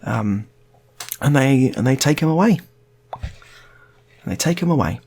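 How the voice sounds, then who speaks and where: A British man telling an improvised comic story in a casual, rambling, halting way, often repeating phrases. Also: medium pitch, expressive, a little mellow, husky.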